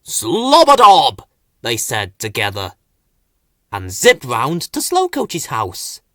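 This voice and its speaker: A man narrating a children's story as an expressive audiobook storyteller. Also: medium pitch, slow pace, no echo, studio quality.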